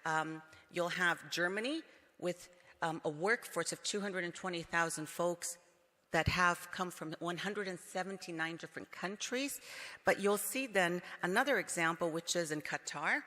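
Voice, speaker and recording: A company executive, a man who grew up in Brazil, speaks at a conference in a measured, explanatory way, often pausing on "um." His voice is bright and a little higher than most men's. The hall around him leaves a long reverb on every word. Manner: measured, explanatory, frequent um fillers. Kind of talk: conference presentation.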